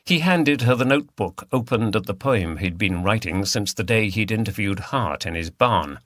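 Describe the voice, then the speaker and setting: A man's voice: on the low side, animated, normal speed, a bit bright, no room sound. A man reading a mystery novel as scripted audiobook narration.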